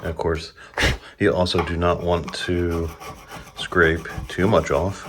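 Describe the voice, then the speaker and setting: Man, 40s, DIY vlogger: deep, even-toned, relaxed how-to talk, phone mic with scraping and sanding noise. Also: slow. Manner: relaxed, plain step-by-step explaining. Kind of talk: casual how-to narration while working. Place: small indoor room at home. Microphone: handheld phone camera.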